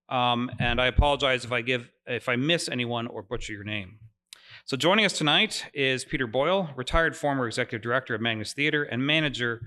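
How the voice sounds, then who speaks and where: A man's voice: mid-range voice, bright, forceful tone. A man who directs a museum society, opening a public lecture with housekeeping notes in a friendly, informal way with filler words, in a lecture room. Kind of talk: public event introduction. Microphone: mic over room speakers.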